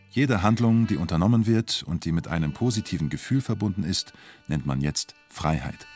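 Ein Schauspieler spielt in einem Hörspiel einen nüchternen, sachlich formulierenden Erzähler und Vernehmer. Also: male voice, fairly low pitch, natural voice. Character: sober male narrator and formal police interrogator. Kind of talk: scripted radio drama narration.

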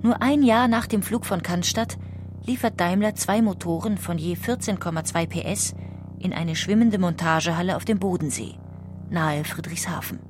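A woman narrating a history audiobook in a calm, even-paced reading style. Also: on the low side, normal speed, faint music behind. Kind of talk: scripted documentary narration.

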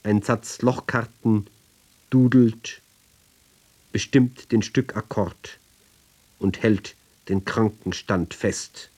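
Slow, deliberate man reading literature. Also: lowish voice, steady tone, darkish tone, slightly rough. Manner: deliberate, sober, phrase-by-phrase delivery with pauses. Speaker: reader of literary ballads. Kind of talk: measured literary radio reading.

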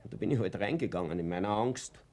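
A man's voice: lowish voice, animated, fast, a little mellow. An actor with an Austrian accent playing an anxious, guilt-ridden middle-aged father and reluctant party member in a radio drama, speaking in a hesitant, colloquial way. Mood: agitated, fearful. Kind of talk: naturalistic radio drama acting.

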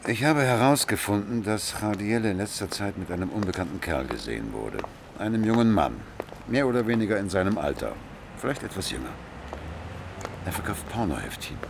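An actor playing a dogged police subinspector in a radio crime drama, speaking in a blunt, curt way. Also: male voice, fairly low pitch, sing-song, brisk, creaky, noisy background. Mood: determined. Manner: curt, pressing interrogator.

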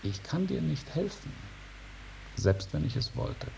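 An actor playing an aloof old male dragon in a 1950s German radio drama, speaking in a drawn-out, unhurried and repetitive way. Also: on the high side, sing-song, gravelly, mellow, exaggerated voice. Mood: gentle farewell. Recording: noisy 1950s radio recording.

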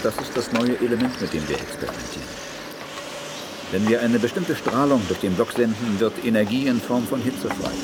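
An actor playing a self-important, formal professor in a 1980s radio drama. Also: male voice, medium pitch, animated, brisk, background noise, lightly acted. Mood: lecturing, condescending. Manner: lecturing, formal. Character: self-important male scientist, condescending, prone to panic.

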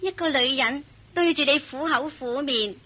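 An actress playing a scheming middle-aged brothel madam in a radio play, wheedling and sly. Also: female voice, sits high, normal speed, pushed and bright. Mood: plaintive. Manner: wheedling, sly, laughs while she schemes.

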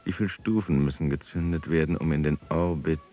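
A man's voice: a bass voice, fairly quick, mellow. An actor in a radio drama playing an eloquent, proud dreamer poet and swordsman, with a precise, theatrical delivery. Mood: dawning inspiration.

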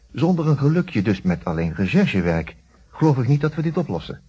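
A wordy, precise lawyer from a radio play, speaks in a very husky voice. His intonation swings widely and animatedly. The vintage recording is dull and muffled. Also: fairly low pitch, mellow, exaggerated voice. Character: articulate male lawyer, inspector's friend and narrator.

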